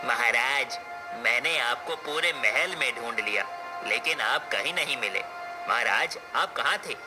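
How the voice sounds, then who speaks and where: Male actor as cartoon king's minister, deferential, formal, medium pitch, background music. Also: unhurried, lightly acted, poor audio. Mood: dutiful. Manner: respectful, formal address. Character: deferential, dutiful male royal minister serving his king. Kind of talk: cartoon story acting.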